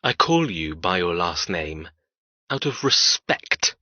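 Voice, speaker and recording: A man's voice: deep voice, very animated, takes it slowly, sharp tone, no echo, lo-fi sound, full character voice. An actor playing a cold, cruel male captor who taunts with clipped, controlled, sneering menace in audiobook dialogue. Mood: cold, curt.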